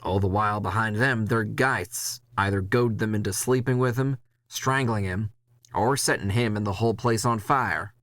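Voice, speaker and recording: A man's voice: on the low side, moderate pace, fairly bright tone, no room sound. A man narrating a scripted first-person audio drama in a wry, gritty, world-weary storytelling style.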